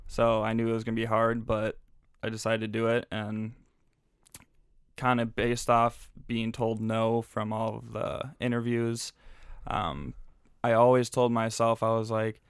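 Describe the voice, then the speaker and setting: A man in his twenties from Minnesota, a podcast guest telling his story in relaxed, easygoing casual chat. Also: fairly low pitch, a little slow, clean tone, no room sound, studio quality. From Minnesota, USA. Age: 20s.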